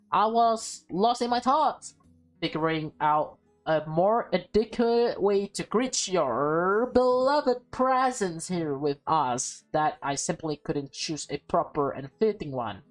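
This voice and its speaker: A woman voicing a nervous teenage boy disguised as a polite maid in a visual novel, halting and overly formal. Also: lowish voice, animated, soft background music. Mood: stiffly polite. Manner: halting, stammering, overly formal when covering up. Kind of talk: visual novel dialogue read in character.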